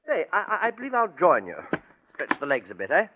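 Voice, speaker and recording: A man playing a fussy, genial English gentleman with a hesitant, polite manner in a 1940s radio drama. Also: sits high, wildly swooping pitch, a little fast, music in the background. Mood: fussy, obliging. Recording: muffled, noisy old radio recording.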